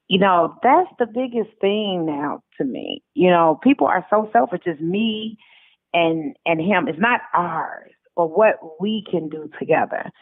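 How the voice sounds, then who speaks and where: A woman's voice: mid-range voice, dry recording. Woman on a tinny phone line, emphatic. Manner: emphatic, repeats points, acts out quoted remarks. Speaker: interview guest, author. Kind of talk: casual conversational interview answers. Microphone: telephone call.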